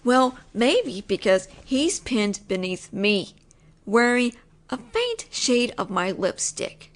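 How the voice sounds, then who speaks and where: Slow, soft-spoken young woman. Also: big pitch swings. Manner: soft, low and lingering, slipping into whispers. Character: possessive, teasing young woman roommate. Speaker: voice actor in an audio roleplay. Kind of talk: intimate audio roleplay, speaking to a listener.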